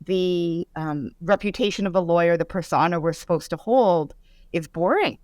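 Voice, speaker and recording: Low-voiced chatty woman podcast host. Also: moderate pace, dry recording. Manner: warm, enthusiastic, chatty with fillers. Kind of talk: casual interview conversation.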